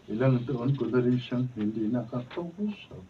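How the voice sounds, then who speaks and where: A man's voice: mid-range voice, lively intonation, measured pace, close to an everyday voice. A man acting in a Filipino radio drama as a devout, forgiving father, earnest and solemn, with a Filipino accent. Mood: wistful, prayerful. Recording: distant mic, dull noisy room recording.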